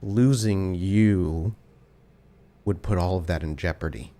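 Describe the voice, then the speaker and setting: A man playing an anxious, devoted brother who speaks earnestly in halting, stammering starts. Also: low voice, mellow. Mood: anxious, pleading.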